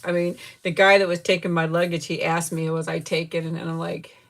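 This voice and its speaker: A woman in her fifties chatting candidly with viewers, grieving and close to tears, rambling with soft laughs and trailing pauses. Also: fairly low pitch, level tone, creaky. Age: 50s. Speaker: vlogger chatting with live viewers. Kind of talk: casual live chat.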